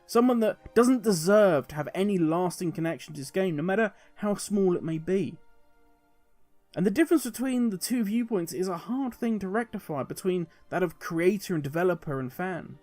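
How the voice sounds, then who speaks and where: British man, slightly high-pitched, measured commentary. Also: expressive, darkish tone, faint music behind. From United Kingdom. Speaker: gaming video creator and host. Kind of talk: conversational opinion commentary to viewers.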